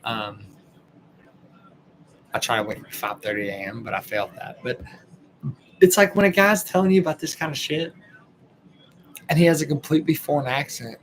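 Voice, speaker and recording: A man's voice: mid-range voice, slight background noise, low-quality recording. A man in his late twenties with a Texan accent, hosting a podcast and reacting to a clip in casual, rambling commentary, blunt and sprinkled with swearing, sounding tired late at night. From Texas, USA. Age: late 20s.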